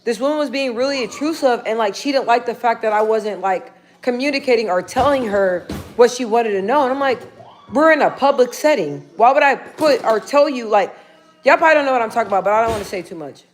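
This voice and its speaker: A chatty woman hosting a casual livestream, her voice sitting a bit high. She rambles easily, answers her viewers' comments as they come in and turns aside now and then to talk to people in the room. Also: animated, fast, a little hiss or hum. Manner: chatty and rambling, with frequent laughs. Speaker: livestream host.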